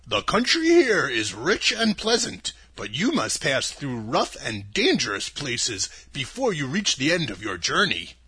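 Man acting a grave, raspy Munchkin man. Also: medium pitch, wildly swooping pitch, pushed and bright, heavily acted. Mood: grave warning. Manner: solemn, measured. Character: grave, cautious Munchkin man advising a traveller.

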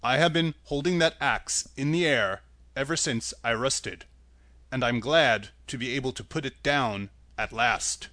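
A mournful, polite tin man speaks low and unhurried, grateful and weary, as a male reader voices him. The sound is close and dry. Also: pushed and bright, no room sound. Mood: sad polite.

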